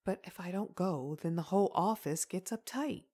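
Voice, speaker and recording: A woman's voice: lowish voice, normal speed, mellow, natural voice. A woman playing a friendly, chatty young mother in a comic dub.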